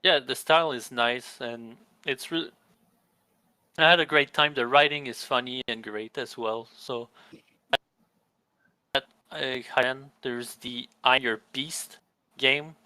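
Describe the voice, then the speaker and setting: Bright, clear young man rambling. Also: medium pitch, slow pace, pauses often, dry recording, low-quality recording. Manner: rambling, restarts mid-sentence. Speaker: podcast host. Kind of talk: casual chat.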